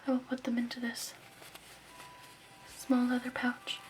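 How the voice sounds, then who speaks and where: A woman whispering softly and breathily in a gentle, measured ASMR roleplay as a shopkeeper. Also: fairly high pitch, completely flat delivery, slight background noise, poor audio. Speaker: ASMR roleplay host as crystal shop keeper.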